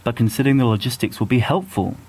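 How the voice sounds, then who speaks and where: A man voicing a sensible, hesitant, bookish teenage schoolboy in an audio story, with a dry and measured manner. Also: mid-range voice, a little mellow, husky, lightly acted. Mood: considering, reluctant. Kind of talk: amateur audio fan fiction reading.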